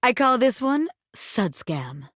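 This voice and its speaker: A woman's voice: fairly high pitch, sing-song, slow, a bit bright, dry recording, clean studio sound, heavily acted. A woman voicing a cocky, self-important bobby pin who fancies itself a crime boss in a video game, swaggering, slangy and boastful. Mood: sly, persuasive. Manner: swaggering, slangy, boastful, peppering talk with pet phrases. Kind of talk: video game character voice acting.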